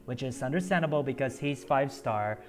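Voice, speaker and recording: A man streaming a video game, chatting casually and haltingly with lots of ums and uhs. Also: mid-range voice, expressive. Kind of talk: casual gameplay commentary. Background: game music.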